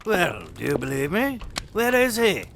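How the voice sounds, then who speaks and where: A man's voice: sits high, sing-song, a little slow, vocal fry, exaggerated voice. A man playing an insistent, testy Victorian businessman in an audio play, emphatic and argumentative. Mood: insistent, irritated. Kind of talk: audio theatre acting. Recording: faint background noise.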